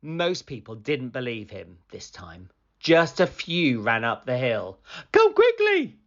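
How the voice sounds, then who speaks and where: A woman narrates a children's picture book in a low register. Her delivery is very slow and swings dramatically up and down, with deliberate, animated storytelling. The recording is a little dull and lo-fi.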